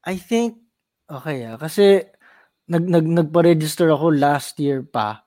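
A man's voice: fairly high pitch, expressive, moderate pace, mellow, no room sound, clean studio sound. Young Filipino man, DJ, earnest. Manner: earnest, repeats himself, mixes Filipino and English. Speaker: radio DJ co-host. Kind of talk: casual talk-show banter.

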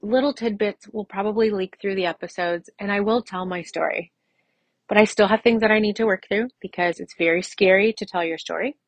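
A woman's voice: mid-range voice, dry recording. A woman in her mid-forties hosting a solo podcast, talking in a personal, conversational way and repeating phrases for emphasis. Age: mid-40s. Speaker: podcast host.

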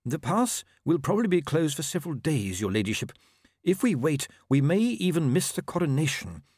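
A man's voice: fairly high pitch, sing-song, normal speed, darkish tone, breathy edge, dry recording, pitched above the performer's own voice. A fussy, deferential official, acted by a male narrator in a storybook reading. He is formal and hesitant, understating everything, in a somewhat raised voice with a dry sound. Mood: deferential, cautious.

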